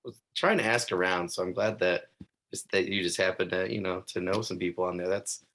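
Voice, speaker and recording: A man's voice: sits low, steady tone, a little fast, fairly bright tone. A man hosting a podcast interview, enthusiastic and laughing often in casual chat. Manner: enthusiastic, laughs often, says "super cool". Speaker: podcast host.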